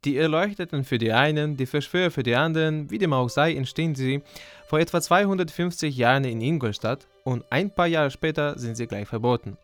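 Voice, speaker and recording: A man's voice: on the high side, fairly quick, no echo, faint music behind. A young man from Ukraine, co-hosting a student podcast with a dry, teasing humour. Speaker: podcast co-host, journalism student. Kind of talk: scripted intro and casual podcast chat.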